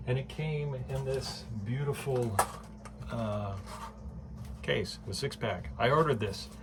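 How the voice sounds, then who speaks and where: A man with a fairly low voice chats excitedly into his phone in a home kitchen, filling the gaps with "uh" and "um" and breaking into laughs. His talk is casual and loose, like a home vlog. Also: background noise. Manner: chatty and excitable, many fillers, laughs often. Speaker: vlogger. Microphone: phone camera.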